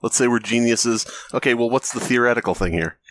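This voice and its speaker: A man's voice: mid-range voice, fairly quick, a bit bright. A man co-hosting a podcast, musing casually and a little wryly. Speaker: podcast co-host.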